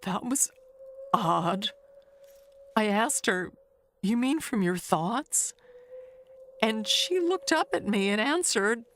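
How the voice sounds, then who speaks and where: An actress as a chatty older woman who speaks slowly and confides in halting, rambling turns. She sounds like she is guarding a secret in a mystery tale, over clear background noise. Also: medium pitch, lively intonation, fairly bright tone. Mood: guarded, uneasy. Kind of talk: audio mystery monologue.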